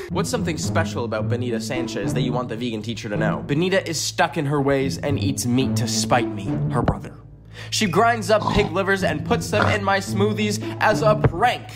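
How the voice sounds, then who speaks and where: A man's voice: on the high side, big pitch swings, moderate pace. A young man narrating a casual comedic vlog as a YouTube host. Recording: loud music behind the voice. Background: music.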